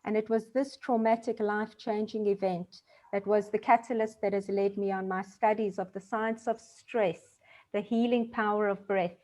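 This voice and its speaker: A woman speaking on a video panel about her work, earnest and deliberate in her emphasis. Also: medium pitch. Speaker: panelist, breathwork practitioner. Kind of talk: prepared panel remarks. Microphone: video call.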